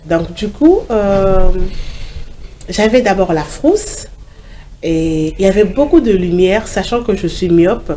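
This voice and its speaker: A woman's voice: mid-range voice, animated, slow pace, dark tone, slight background noise. A woman with a cold hosting a morning TV show, chatty and upbeat with lots of fillers. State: has a cold. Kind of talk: casual studio chat. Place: TV studio.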